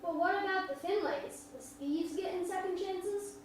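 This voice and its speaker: A woman's voice: very high-pitched, normal speed. A woman playing a curious, earnest twelve-year-old country boy with plain, questioning speech in a stage drama. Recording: echoey hall, distant mic, faint noise.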